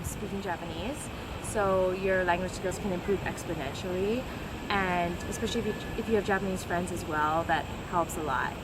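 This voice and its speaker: A young woman from Hawaii answering interview questions in a thoughtful, deliberate way. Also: medium pitch. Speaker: guest. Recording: loud outdoor noise.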